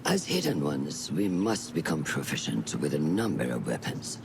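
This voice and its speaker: An actor playing a stern, seasoned assassin mentor in a video game, measured and exacting in delivery. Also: male voice, extremely low pitch, wildly swooping pitch, vocal fry, noisy background, heavily acted. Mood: stern, challenging. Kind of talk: dramatic video game acting.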